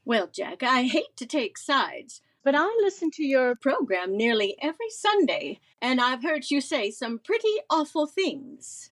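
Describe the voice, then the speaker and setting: Gracious film star, high lilting voice. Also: female voice, sing-song, measured pace, hardly any pauses, full character voice. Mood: teasing. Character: gracious, poised, teasing female movie star. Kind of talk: 1930s radio comedy acting.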